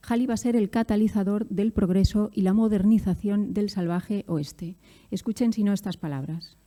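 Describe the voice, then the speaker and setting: A woman presents a prepared academic paper. Her voice is extremely mellow, with little edge, and sits at an average height for a woman. The phrasing is formal and literary, clearly read a script. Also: mid-range voice. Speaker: academic conference speaker.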